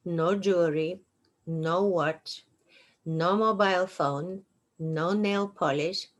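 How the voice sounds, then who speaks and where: A woman in her sixties with a Bulgarian accent, host of her own show, reading her memoir aloud slowly and deliberately with dry humor. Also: medium pitch. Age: 60s. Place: at home on a couch.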